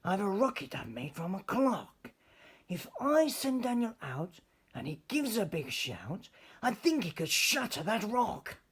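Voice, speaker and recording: Man putting on a high-pitched eccentric inventor professor. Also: very animated, a little slow, a bit bright, slightly rough, exaggerated voice. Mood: eager planning. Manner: exclaiming, instructing. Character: eccentric male inventor professor, eager and helpful.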